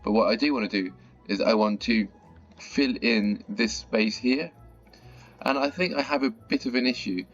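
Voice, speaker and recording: A man with a croaky, slightly sore-throated voice, chatting casually as he plays and comments on a bus management game. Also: mid-range voice, normal speed. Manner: chatty, mildly exasperated at stuck buses. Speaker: gaming channel host. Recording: dull lo-fi audio with faint music.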